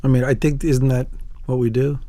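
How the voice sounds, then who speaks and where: A man's voice: medium pitch, flat delivery, a little fast, dark tone. A man speaking as a theatre director guest, explaining things informally in a casual discussion.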